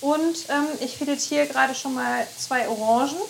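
A woman's voice: sits high, moderate pace, vocal fry. A woman guest cook explaining her cooking steps, with many filler sounds. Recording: noisy kitchen with frying.

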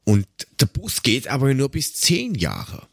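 A man's voice: medium pitch, huge pitch swings, measured pace, vocal fry, husky, no echo, clean studio sound. A man hosting a casual comedy podcast, chatting and telling stories in a sarcastic, rambling way. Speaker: podcast host. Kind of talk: casual comedic chat and storytelling.

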